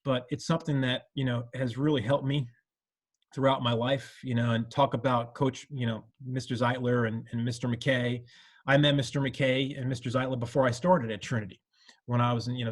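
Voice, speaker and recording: Earnest middle-aged man reminiscing. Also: medium pitch. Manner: earnest, rambling with many "you know" fillers. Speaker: alumnus panelist. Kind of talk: reflective conversational talk.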